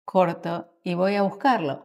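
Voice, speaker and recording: Argentine woman, low creaky voice. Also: a little mellow. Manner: thoughtful, halting, with mid-sentence pauses. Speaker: guest expert in psychotherapy. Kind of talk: conversational podcast interview answers.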